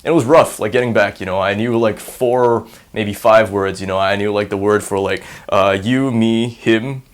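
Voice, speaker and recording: A man's voice: fairly low pitch, fairly even intonation, normal speed. A young man in his twenties from Singapore, speaking casually with a hybrid American and Singaporean accent, rambling with lots of fillers and self-deprecating humor. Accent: mix of American and Singaporean. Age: 20s. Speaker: university student. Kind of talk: casual on-camera interview monologue.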